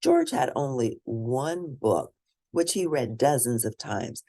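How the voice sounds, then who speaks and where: A woman reading a children's picture book aloud as host, in expressive, deliberate storytelling. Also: very deep voice.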